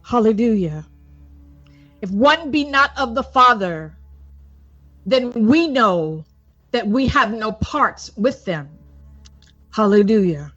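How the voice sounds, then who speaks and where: A woman evangelist reads a report like a sermon, in emphatic, deliberate, broken phrases, repeating points for weight. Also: a little high, expressive, fairly bright tone. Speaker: evangelist reading a prophecy report. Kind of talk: sermon-like scripted report reading. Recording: dull lo-fi audio with music underneath. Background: music.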